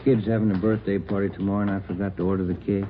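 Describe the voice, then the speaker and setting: An actor playing a casual, laconic police detective partner in a 1950s radio drama style. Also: male voice, low voice, level tone, racing, background noise, lightly acted. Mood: casual, glum. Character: easygoing, mildly worried male detective partner. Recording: tinny, narrow-band old radio recording.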